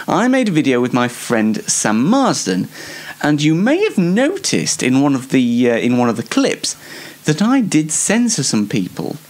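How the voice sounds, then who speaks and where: A young British man hosting a show on his own, giving a casual, rambling comedic monologue in a self-deprecating way. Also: fairly high pitch. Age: young adult. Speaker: solo host of an online talk show.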